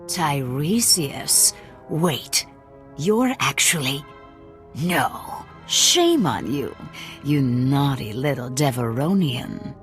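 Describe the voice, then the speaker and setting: Low, slow, purring sadistic crime lady. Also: animated, soft background music, exaggerated voice. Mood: teasing, intrigued. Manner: purring, drawn-out, teasing delivery with breathy whispered drops. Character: sadistic, languid crime boss lady who savors cruelty. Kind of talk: video game voice acting.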